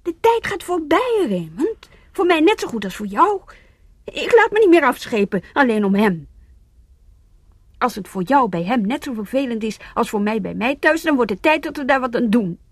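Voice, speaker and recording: A young woman in a radio drama, with a very high voice. She is stubborn and pleading, pressing her case insistently and sometimes repeating words as she hesitates. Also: big pitch swings, normal speed, slightly rough, dry recording, exaggerated voice. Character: determined young woman, pleading and pushy girlfriend. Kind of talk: radio play acting.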